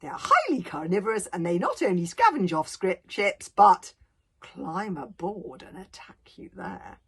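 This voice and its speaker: A small Viking boy , voiced by a woman, speaks for a children's storybook. He is earnest, cautious and exact in his explanations. The voice is very husky and moves up and down with lively expression. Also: fairly low pitch, a little slow, heavily acted.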